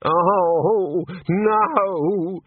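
A man performs a fussy older wizard of slight build for a comic fantasy audiobook. He is earnest and fretful, his pitch lifted, the sound narrow like a small radio. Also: a little slow, dry recording. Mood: aghast.

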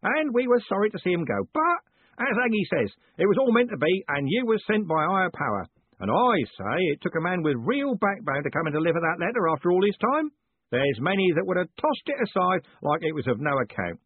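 A man voicing a loud, blunt old male merchant who bellows and calls people "lad". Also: sing-song, brisk, breathy edge, no room sound, full character voice. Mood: hearty. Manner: booming, hearty, uses "lad" and "young man". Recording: dry, tinny phone-like audio.

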